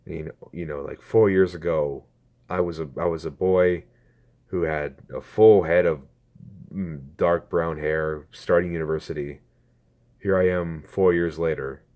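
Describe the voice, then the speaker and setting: A man in his twenties talks to his viewers through a phone camera, earnest and halting, with a strained throat. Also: low voice, steady tone, a little mellow. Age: 20s. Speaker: YouTuber. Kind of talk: casual talk to camera.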